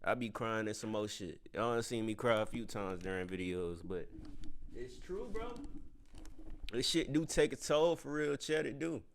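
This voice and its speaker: A man hosting a reaction video, talking slangy and animated to his chat and giving them advice. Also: medium pitch, moderate pace, creaky. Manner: emotional, says he has tears in his eyes. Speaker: reaction channel host. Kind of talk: casual reaction commentary to viewers.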